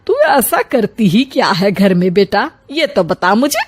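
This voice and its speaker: A woman's voice: medium pitch, big pitch swings, unhurried, slightly rough. A woman playing a proud, stubborn older mother-in-law in a family story, chiding and boastful.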